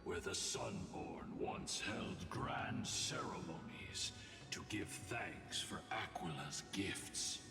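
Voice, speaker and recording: A man voicing a grave, weary warrior of a bygone age, with measured, breathy delivery in a fantasy game. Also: deep voice, sing-song, exaggerated voice. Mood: grave, reflective. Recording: distant, echoing hall with game music.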